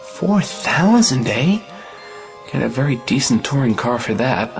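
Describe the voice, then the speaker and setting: A woman's voice: extremely low pitch, very animated, measured pace, soft background music, full character voice. A woman playing a flippant, materialistic young man with a slangy, offhand manner in an audio play.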